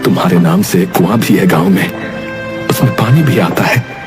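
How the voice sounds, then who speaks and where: A man voicing a hardworking village man who talks softly and earnestly in a cartoon story. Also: deep voice, normal speed, over music, close to an everyday voice. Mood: wistful. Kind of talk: cartoon voice acting.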